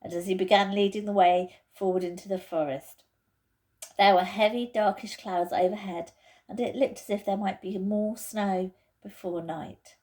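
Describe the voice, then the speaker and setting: A woman reading a children's storybook aloud in a deliberate, expressive way, like a teacher to pupils. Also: medium pitch.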